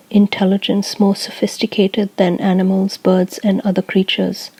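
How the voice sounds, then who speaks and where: A woman reads a scripted spiritual message in a formal, solemn way. Her pitch stays extremely flat and steady from phrase to phrase, with measured delivery. The sound is dry and close, with very little room around it. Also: no echo, poor audio. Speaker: channeler speaking as a group of light beings. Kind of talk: scripted spiritual discourse for guided meditation.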